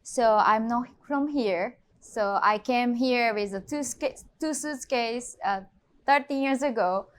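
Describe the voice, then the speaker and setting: Japanese-accented woman, halting English. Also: a little high. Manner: halting non-native English with frequent fillers. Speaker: luxury real estate agent. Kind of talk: interview conversation.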